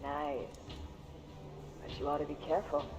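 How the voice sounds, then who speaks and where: An actor playing a sly, chummy underworld tipster in a video game, gossipy and insinuating. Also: male voice, on the high side, little pitch movement, moderate pace, lightly acted. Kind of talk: video game cutscene acting. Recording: dull, reverberant, music behind.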